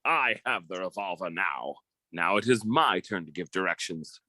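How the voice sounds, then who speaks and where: A woman's voice: rumbling low, animated, moderate pace, bright, forceful tone, no room sound, heavily acted. A woman playing a smug, suave male thief in an old time radio drama, mock-polite and commanding.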